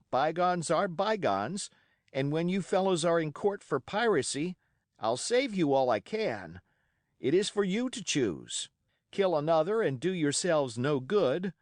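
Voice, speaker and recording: A man voicing a bold young cabin boy who speaks in an excited, defiant rush. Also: medium pitch, no echo, clean studio sound, voice pushed up. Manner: breathless, rising, defiant pleading.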